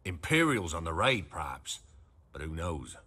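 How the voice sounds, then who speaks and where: A man playing an anxious village innkeeper in a fantasy video game, with a rough edge to his voice. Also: low-pitched, very animated, a little slow, bright, forceful tone, full character voice. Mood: wary, subdued. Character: anxious rustic male innkeeper in a war-torn village.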